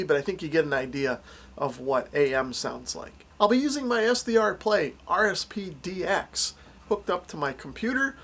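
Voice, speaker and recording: An American man with a slightly high voice presents a hands-on product demo. He explains each step clearly in an everyday reviewer's style. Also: a bit bright. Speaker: radio reviewer and demonstrator.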